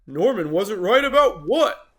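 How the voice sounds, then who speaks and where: Woman as an easygoing, higher-voiced monkey pal. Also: big pitch swings, measured pace, a bit bright. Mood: helpful. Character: friendly, easygoing male monkey neighbour.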